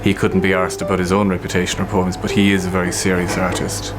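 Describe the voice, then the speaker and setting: A man's voice: low-pitched, monotone, fast talker, over loud music. A man being interviewed, telling anecdotes in a conversational way. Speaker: poet and literature centre director being interviewed. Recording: clearly noisy, ambient hum and vehicles.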